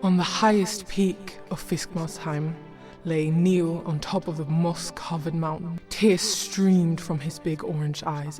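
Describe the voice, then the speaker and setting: A woman reading scripted fantasy narration in a measured storybook manner. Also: low-pitched. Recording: loud music behind.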